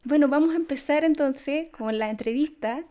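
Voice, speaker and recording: A woman's voice: high voice, normal speed, mellow. A woman hosting an interview show, presenting and asking questions in Spanish. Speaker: podcast host and interviewer. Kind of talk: scripted introduction and interview.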